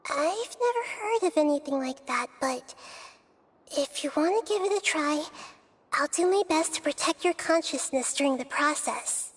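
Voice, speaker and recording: Young woman traveler: high, composed, protective, anime game delivery. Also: expressive, normal speed, slight background noise. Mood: earnest, protective.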